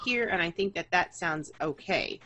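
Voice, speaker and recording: A woman's voice: lowish voice, animated, moderate pace. A woman co-hosting a casual podcast, chatting easily and checking her mic levels. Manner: giggly, casual. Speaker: podcast co-host.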